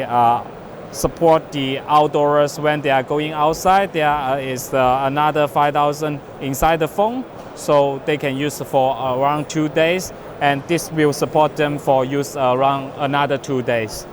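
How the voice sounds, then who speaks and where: Halting non-native man over clear background noise. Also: on the high side. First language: not English. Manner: halting, hesitant delivery with broken phrasing. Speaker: company representative presenting a product. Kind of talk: unscripted product demonstration. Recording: noisy trade show floor.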